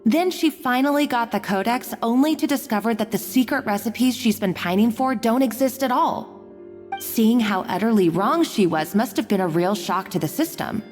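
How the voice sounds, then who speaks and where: Earnest, high-voiced anxious young kitchen worker. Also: female voice, lively intonation, moderate pace, a little mellow, big-room sound, faint music behind. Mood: earnest, explanatory. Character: anxious, apologetic young woman working in a restaurant kitchen. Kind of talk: video game voice acting.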